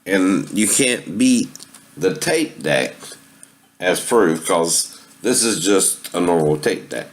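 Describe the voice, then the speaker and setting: A man's voice: sits low, expressive, slow pace. A man giving a casual, explanatory demonstration, often checking in with "right?" at the ends of phrases. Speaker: amateur video demonstrator showing a tape deck. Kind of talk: casual instructional talk with sung impersonations.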